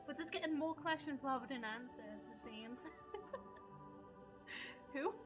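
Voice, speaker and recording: Casting: female live streamer, high voice, expressive casual game reactions over clear background music. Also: slow pace. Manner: expressive, exclamatory.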